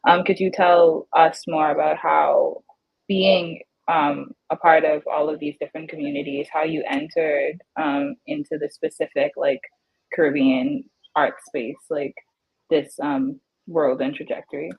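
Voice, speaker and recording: A woman with a low, breathy voice leads a relaxed video-call panel and asks questions in a halting way with many fillers. Her sound is a bit muffled and dull. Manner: hesitant, filler-heavy phrasing. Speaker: moderator. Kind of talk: casual panel conversation over a video call.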